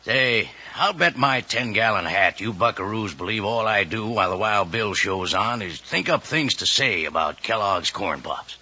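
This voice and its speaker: A man's voice: fairly low pitch, lively intonation, normal speed, few pauses, pushed and bright. A man announcing a sponsor's advert in hearty, folksy cowboy patter, 1950s radio style. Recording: dull old radio recording.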